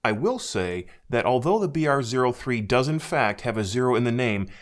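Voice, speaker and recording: An American man narrating a scripted hi-fi speaker review in a measured, dryly self-deprecating way. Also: mid-range voice, sing-song. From United States. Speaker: audio reviewer.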